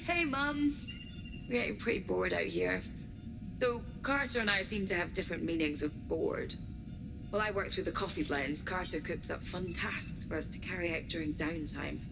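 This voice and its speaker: A woman's voice: lowish voice, moderate pace, vocal fry, music in the background, rough recording. An actress playing a bored young woman space technician confiding in her mum in a video game log. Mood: wry, bored.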